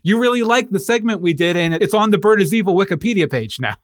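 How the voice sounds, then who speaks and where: A man's voice: high-pitched, animated, fast, dry recording, studio quality. A man hosting a podcast, asking friendly questions and cracking jokes. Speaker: podcast host. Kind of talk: casual interview.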